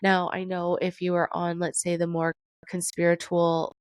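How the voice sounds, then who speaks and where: A woman's voice: on the low side, completely flat delivery, moderate pace, no room sound, studio quality. A young woman with a cold, her voice nasal and congested, hosting a casual news commentary podcast and reading articles aloud with chatty asides. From Canada. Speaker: podcast host.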